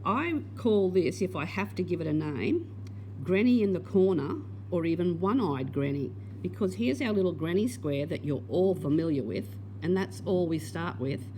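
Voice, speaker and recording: Patient woman teaching crochet, hoarse. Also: lowish voice, lively intonation, moderate pace, dark tone, a little hiss or hum, low-quality recording. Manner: patient, step-by-step instruction. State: losing her voice. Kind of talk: crochet tutorial.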